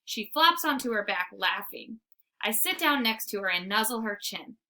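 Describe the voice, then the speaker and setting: A woman reading a children's storybook aloud in an expressive, theatrical way. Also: a little high, moderate pace. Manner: animated, theatrical. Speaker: storybook reader. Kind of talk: expressive read-aloud narration.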